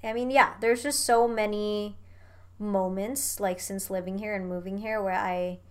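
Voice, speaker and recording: A young woman from Indonesia, chatting casually as a guest, animated and full of "like" and "you know what I mean", with casual swearing. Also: mid-range voice, unhurried, clean tone. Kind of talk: casual chat.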